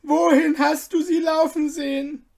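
A reader voicing a chorus of frantic palace servants, pleading in a high, loud voice in a storybook reading. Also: little pitch movement, measured pace, creaky. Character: crowd of frantic palace slaves.

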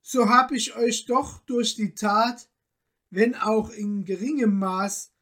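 A woman storyteller plays a modest, noble-hearted young man from a storybook. He talks very slowly and earnestly. His phrasing is polite, formal and old-fashioned. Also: a little high, steady tone, fairly bright tone, pitched above the performer's own voice. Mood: amazed, thankful.

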